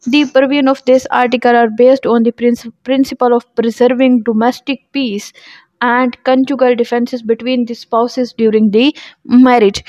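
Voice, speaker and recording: A woman law lecturer, reading legal passages slowly and then explaining them to students. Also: fairly high pitch, a little mellow, no room sound, lo-fi sound. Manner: reads English passages slowly, then explains in Urdu-style Hindi.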